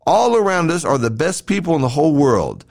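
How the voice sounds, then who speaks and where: A man's voice: a little high, big pitch swings, fairly quick, creaky, a little mellow. A man hosting a devotional podcast, reading a scripted sermon in an earnest, emphatic way with rhetorical questions and repeated phrases. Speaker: podcast host and preacher.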